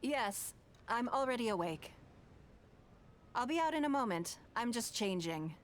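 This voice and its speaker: A woman's voice: a little high, animated, measured pace, slight background noise. An actress playing a poised, self-assured wealthy businesswoman in a video game, courteous and shrewd, with composed, formal diction. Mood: calm, courteous. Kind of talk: scripted video game voice acting.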